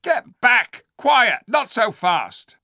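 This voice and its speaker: A man's voice: high voice, very animated, measured pace, vocal fry, pushed and bright, no room sound, clean studio sound, pitched above the performer's own voice, exaggerated voice. A man voicing a sly, bullying male ape who mocks and jeers at a crowd of animals. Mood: shouting. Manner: mocking, sneering.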